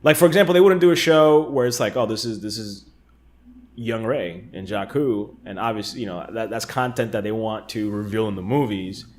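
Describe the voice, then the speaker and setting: A man's voice: lowish voice, fairly quick, a little mellow. A man co-hosting a casual fan discussion, talking in an informal, conversational way. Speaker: podcast co-host.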